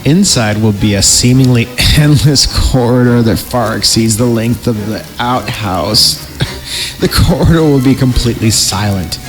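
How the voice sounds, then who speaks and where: A man hosting a podcast, reading horror stories in a theatrical way and breaking off for jokey asides. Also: fairly low pitch. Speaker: podcast host. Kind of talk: dramatic horror story reading with joking asides.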